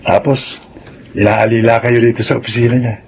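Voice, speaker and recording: Man acting a wayward Filipino son, mid-pitched. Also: level tone, fairly quick, a little hiss or hum, natural voice. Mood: warm, teasing. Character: wayward elder son in jail, affectionate and teasing. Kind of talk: Filipino radio drama acting.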